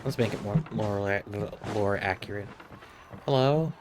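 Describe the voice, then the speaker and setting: A man's voice: on the low side, unhurried, noisy background. A man streaming a game, giving casual live commentary. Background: game music.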